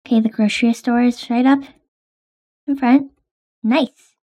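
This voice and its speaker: A woman's voice: on the high side, fairly even intonation, measured pace, dark tone. A young woman hosting and narrating a game roleplay in a casual, hesitant way with many ums.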